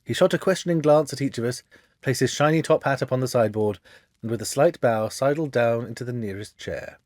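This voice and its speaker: A man's voice: medium pitch, brisk, darkish tone, no echo, studio quality. A man reading a story aloud as a dramatic narrator. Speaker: audiobook narrator.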